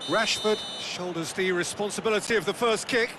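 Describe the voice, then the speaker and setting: A man's voice: sits high, fairly quick. A man doing lead play-by-play for a football video game, speaking in short dramatic phrases. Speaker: football match lead commentator. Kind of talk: scripted video game sports commentary. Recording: commentary under very loud stadium crowd noise. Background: loud football stadium crowd.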